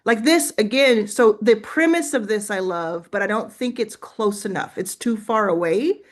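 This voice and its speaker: A woman's voice: mid-range voice, moderate pace. A woman hosting a casual livestream, chatty and encouraging as she gives feedback and thinks aloud. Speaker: livestream host giving business coaching. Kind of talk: casual live coaching chat.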